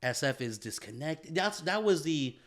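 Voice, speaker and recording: A man's voice: on the high side, lively intonation, moderate pace. A man co-hosting a casual podcast chat, rambling with lots of fillers and restarts, speeding up when he gets going. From San Francisco Bay Area. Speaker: podcast co-host, photographer.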